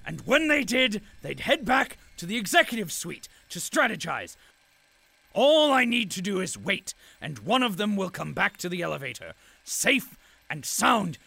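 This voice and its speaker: A man playing a frantic, obsessive announcer in denial, creaky and theatrical, in an audio drama monologue. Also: high-pitched, big pitch swings, moderate pace, bright, forceful tone, dry recording, exaggerated voice. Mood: desperate hope. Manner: desperate, theatrical, repetitive.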